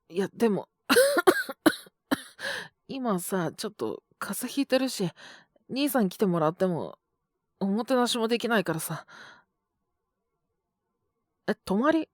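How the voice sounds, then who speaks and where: Girl doing a sick young guy teacher, coughing, super slow tired murmurs, dry close mic. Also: fairly high pitch, huge pitch swings, no echo, heavily acted. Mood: flustered, chatting. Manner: soft, tired, mumbling, often whispered. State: feverish, coughing. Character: young male teacher, sick, soft-spoken and gentle. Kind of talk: audio drama for women listeners.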